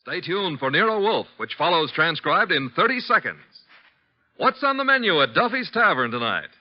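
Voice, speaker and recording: A man's voice: a little high, big pitch swings, normal speed. A man with an American accent announcing in the polished style of 1950s network radio. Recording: dull vintage radio broadcast recording.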